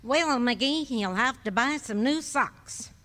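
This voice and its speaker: A fond, teasing wife who takes her time over each line, played by a woman. Her pitch swings widely, and a long hall reverb trails every word. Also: lively intonation, sharp tone, heavily acted. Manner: fond, teasing, drawn-out delivery. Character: patient teasing middle-aged wife. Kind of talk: 1940s radio acting. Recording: live stage in a hall.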